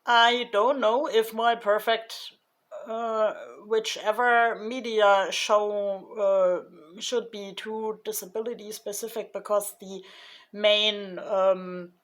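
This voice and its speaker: Slow, hesitant woman vlogger. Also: medium pitch, flat delivery, poor audio. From Germany. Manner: hesitant, many fillers and restarts; slow, thoughtful delivery. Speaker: YouTuber. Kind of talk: casual vlog recap.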